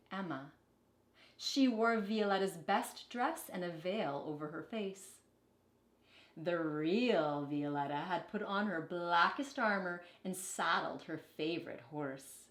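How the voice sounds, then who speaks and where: A woman reading a children's picture book aloud in an expressive, deliberate storytelling manner. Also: fairly low pitch. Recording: echoey, roomy sound.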